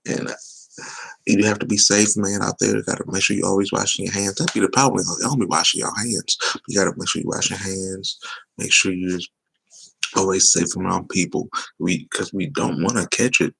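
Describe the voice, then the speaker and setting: A man chatting loosely and teasingly on a sports talk livestream, with a voice he says is going hoarse. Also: low voice, steady tone, brisk, pushed and bright, poor audio. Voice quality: gravelly, husky. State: losing his voice. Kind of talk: casual livestream chat.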